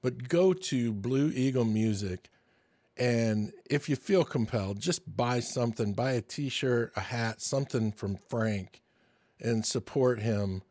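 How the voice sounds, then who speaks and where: Chatty sixty-year-old podcast host, dry sound. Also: male voice, medium pitch, slow pace, darkish tone, no room sound. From Michigan, US. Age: 60s. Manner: chatty, storytelling, enthusiastic. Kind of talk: casual podcast monologue and interview.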